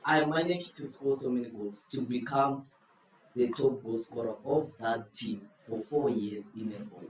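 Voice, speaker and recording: A man hosting a casual football quiz, giving slow, playful clues to his friends. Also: mid-range voice. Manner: playful, drawn-out clue-giving. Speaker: quiz host. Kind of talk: casual group chat, posing football riddles. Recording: echoey room, far from the mic, faint noise.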